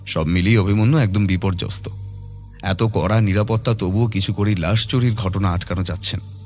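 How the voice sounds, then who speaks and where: Male narrator for scripted horror audio story, low register, dramatic delivery over music. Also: fairly even intonation, moderate pace, darkish tone.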